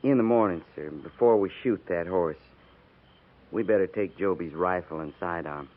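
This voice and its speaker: A man's voice: low-pitched, moderate pace, lightly acted. An American man playing a plain-spoken veteran cavalry sergeant in a 1950s radio western, blunt and matter-of-fact. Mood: concerned, grave. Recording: tinny, phone-like 1950s radio recording.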